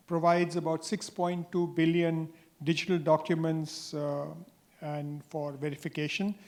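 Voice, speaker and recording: A man's voice: on the high side, creaky, a little mellow. A middle-aged man on a panel, reeling off figures with frequent "uh" and a tag "right?". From India. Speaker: panelist, industry association chair. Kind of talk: panel discussion.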